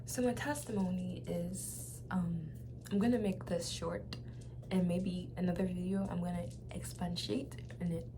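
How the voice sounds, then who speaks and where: A young woman with a Nigerian accent shares a personal testimony in a casual, halting way, often repeating phrases for emphasis. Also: lowish voice, fairly even intonation, noisy background. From Nigeria (Lagos). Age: young adult. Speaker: vlogger.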